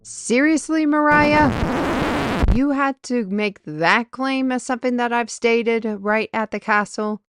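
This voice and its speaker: Woman as scheming sorceress mistress, brisk, bossy and theatrical, for fantasy audio drama. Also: a little high, clean tone, dry recording. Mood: indignant. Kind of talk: audio roleplay acting.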